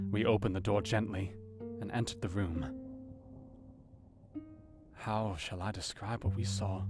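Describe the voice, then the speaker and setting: An actor playing an earnest, anxious doctor, narrating his diary in a grave, brooding, theatrical manner for an audio drama. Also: deep voice. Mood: hushed horror. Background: plucked guitar music.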